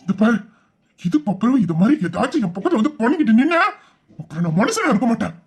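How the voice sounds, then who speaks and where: A man playing a young man who is hurt and quick to anger, scolding and exasperated in an audio drama. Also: very high voice, very animated, breathy edge, soft background music, heavily acted. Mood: furious. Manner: scolding, exasperated, shouting at times. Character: young man, wounded and quick-tempered lover.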